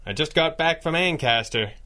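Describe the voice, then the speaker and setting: Suave, dry-witted masked crime-fighter. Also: male voice, on the high side, expressive, pushed and bright. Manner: dry, measured, articulate. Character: suave, thoughtful wealthy masked crime-fighter, calm boss. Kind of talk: 1930s-style radio drama acting.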